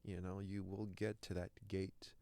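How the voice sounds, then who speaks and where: Deep-voiced earnest man, French native. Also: little pitch movement, measured pace, mellow, no echo. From Canada. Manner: earnest, repeats words while searching. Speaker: guest, actor. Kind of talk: reflective interview talk.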